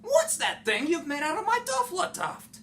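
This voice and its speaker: A small, oldish creature of the forest from a children's storybook. The voice is pitched way up, sharp and forceful, scolding with bossy indignation. Some noise sits behind it. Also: male voice, huge pitch swings, moderate pace, heavily acted. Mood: bossy, upset. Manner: sharp, bossy, shouting protest.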